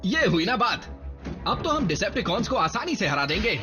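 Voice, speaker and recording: An actor playing an eager, cheeky young robot rookie in a cartoon dub. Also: male voice, high-pitched, big pitch swings, a little fast, over music.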